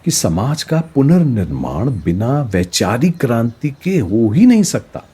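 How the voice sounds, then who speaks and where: An actor playing a learned teacher who explains history in a didactic, rhetorical way, mixing in English words, in an educational radio play. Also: male voice, medium pitch, big pitch swings, a little slow, dark tone, breathy edge, exaggerated voice. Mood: explanatory, keen.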